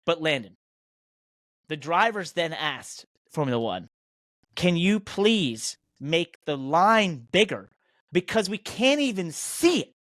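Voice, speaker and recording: A man's voice: on the high side, big pitch swings, slow, a bit bright, no room sound, studio quality. An American man hosting a motorsport podcast, talking in an emphatic, indignant and opinionated way. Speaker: podcast host and professional race car driver.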